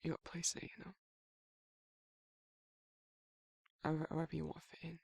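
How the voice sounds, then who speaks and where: A man's voice: a little high, completely flat delivery, racing, dry recording. A man playing a protective, awkward werewolf in an intimate ASMR roleplay, speaking breathily and hesitantly, often near a whisper. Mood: awkward, sincere. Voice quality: husky, breathy, gravelly, often dropping to a whisper. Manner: hesitant, rambling, bashful, informal. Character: protective, awkward, soft-hearted male werewolf confiding in a friend.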